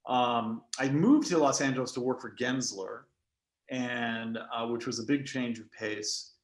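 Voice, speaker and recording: A man speaking casually and somewhat haltingly as he hosts an interview. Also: mid-range voice, echoey room. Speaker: interviewer, architect.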